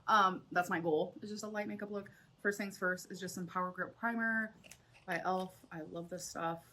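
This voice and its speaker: A woman's voice: fairly low pitch, steady tone, normal speed, sharp tone, slight background noise. A woman vlogger chatting casually while she does her makeup, rambling and full of likes. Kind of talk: casual makeup chat vlog.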